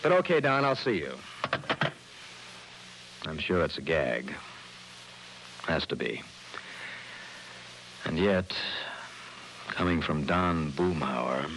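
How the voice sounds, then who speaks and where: An actor playing a breezy, wry insurance investigator in a mid-century radio drama. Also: male voice, a bass voice, very animated, slow pace, pauses often, fairly bright tone, slight background noise, heavily acted, rough recording. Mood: skeptical, teasing.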